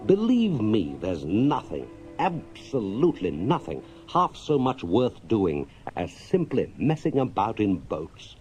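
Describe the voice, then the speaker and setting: A man voicing a brisk, friendly, excitable water rat in a children's storybook, with hearty, chatty gentlemanly talk. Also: lowish voice, highs cut off, faint music behind, heavily acted. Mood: enthusiastic. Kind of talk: children's storybook character reading.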